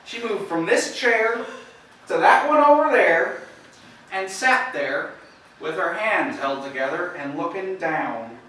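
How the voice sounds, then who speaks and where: Rambling farmer witness for a stage play, male actor: animated pitch, recorded from afar in an echoing space with faint noise. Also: slow pace, distant mic, exaggerated voice. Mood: hesitant, earnest. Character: talkative, rambling farmer neighbor giving his account. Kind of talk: stage drama acting, recounting events. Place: indoor theater stage, distant microphone.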